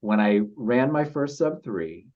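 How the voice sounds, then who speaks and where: A man speaking as a podcast guest, telling personal stories in a halting, reflective and earnest way. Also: medium pitch, expressive, darkish tone. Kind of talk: casual interview storytelling. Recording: slightly dull lo-fi audio.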